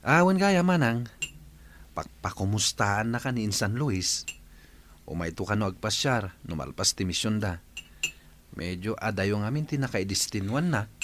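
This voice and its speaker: A hospitable village husband in an Ilocano radio comedy. His delivery is eager and very lively, jumping up and down in pitch, with an Ilocano accent. Faint noise sits behind the voice. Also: moderate pace, long pauses. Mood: teasing, earnest.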